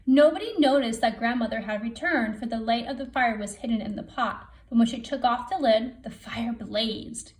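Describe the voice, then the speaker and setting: A woman with a slightly high voice reads a children's story aloud. She sounds like a teacher, telling it deliberately and with expression.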